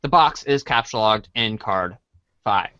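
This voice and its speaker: A man's voice: on the low side, lively intonation, a little slow, sharp tone, smooth, dry recording. Male narrator for a comic read-along, informal, prone to stumbles, close dry sound with dull top end. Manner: informal, stumbles while reading. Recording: dry, dull band-limited audio.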